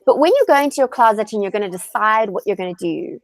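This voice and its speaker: A woman's voice: mid-range voice, animated, fast talker, no room sound, low-quality recording. A woman hosting a casual interview, putting questions to her guest in a conversational way. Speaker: interviewer and personal stylist host.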